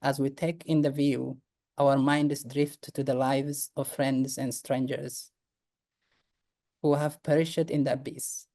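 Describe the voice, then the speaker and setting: A speaker slowly reciting a solemn poem, heard from a distant mic. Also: mid-range voice, little pitch movement, dark tone.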